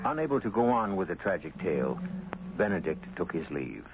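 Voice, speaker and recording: A man hosting a 1970s radio mystery series with theatrical, deliberate scripted narration. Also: low voice, big pitch swings. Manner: dramatic, deliberate, rhetorical. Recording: muffled 1970s radio broadcast, music behind.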